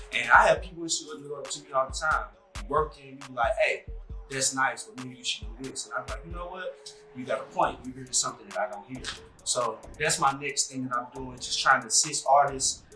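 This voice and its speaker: A rambling, easygoing man in his thirties chats in an interview, his voice bright and forward. The room rings with echo, and faint music plays underneath. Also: mid-range voice. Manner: relaxed, rambling, lots of "like" and "you know". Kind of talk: casual interview chat.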